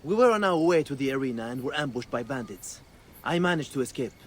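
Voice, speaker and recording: A man voices a cowed watchman for a video game, meek and apologetic. His voice is mid-pitched, with faint ambience around it. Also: lively intonation, quick pace, slight background noise, natural voice. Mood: anxious explaining. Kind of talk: dramatic game acting.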